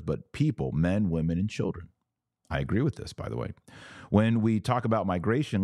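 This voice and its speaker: A man's voice: low-pitched, a little mellow, no echo, studio quality. A man hosting a religious commentary podcast, reading text aloud and remarking on it in an emphatic, questioning manner.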